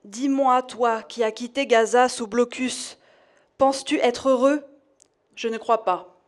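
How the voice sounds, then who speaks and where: A woman reading a poem aloud in a measured, deliberate way. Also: a little high. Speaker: audience member reading a poem. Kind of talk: poetry reading.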